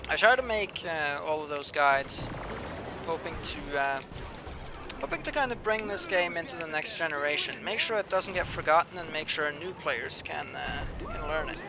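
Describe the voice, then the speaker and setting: A man's voice: a little high, expressive, moderate pace, background noise. A young man live-streaming a video game, chatting casually with viewers and muttering to himself as he plays, with a slightly whiny voice. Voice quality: says he has a whiny voice. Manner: chatty, mumbles asides to himself while playing. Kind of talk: casual live-stream commentary, reading chat. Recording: clear music playing behind. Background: background music.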